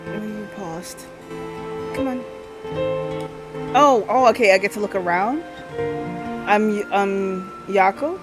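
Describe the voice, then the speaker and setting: A woman hosts a casual game livestream with music playing clearly behind her. Her voice sits at an average pitch for a woman. She chats and thinks out loud as she goes, slipping between commentary and reading text aloud. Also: slow pace, pauses often. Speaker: live game streamer.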